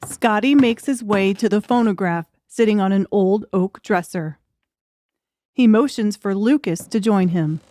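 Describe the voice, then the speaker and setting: A woman's voice: mid-range voice, a little slow, dark tone, dry recording. A woman reading scripted, dramatic audiobook narration.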